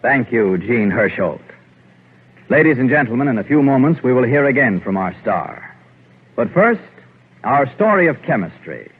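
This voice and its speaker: Lively husky American announcer man, 1940s radio. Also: medium pitch. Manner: formal, measured announcer delivery. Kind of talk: scripted 1940s radio sponsor narration. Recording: narrow, muffled 1940s radio recording.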